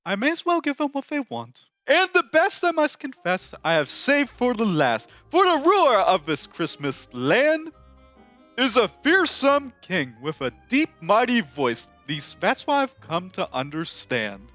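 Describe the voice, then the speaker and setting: A man playing an excitable skeleton showman from a musical, high-voiced, grandiose and dramatic, moving between song and speech. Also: measured pace, soft background music, heavily acted. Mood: ominous, showy. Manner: grandiose, sweeping, theatrical delivery. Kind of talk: theatrical musical performance, half sung and half spoken.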